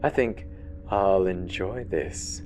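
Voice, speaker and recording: A man voicing a sinister male killer in a horror story, taunting in a slow, menacing drawl. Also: sits low, a little mellow, soft background music, voice pushed down. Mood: menacing, gloating.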